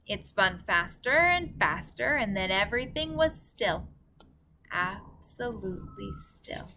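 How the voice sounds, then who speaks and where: Bright-voiced woman reading a children's story, unhurried. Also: mid-range voice, big pitch swings, a little hiss or hum. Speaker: teacher reading aloud to children. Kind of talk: storybook read-aloud narration.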